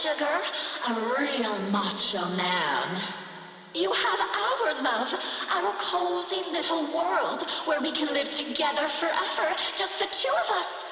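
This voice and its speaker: A clingy, seductive robot from a video game, high and swooping in pitch. She coos coquettishly with an edge of menace. The voice rings in an echoey hall with music behind it. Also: bright, forceful tone, exaggerated voice. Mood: adoring, seductive. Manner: sultry, coquettish, cooing with pet names. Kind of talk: video game character acting.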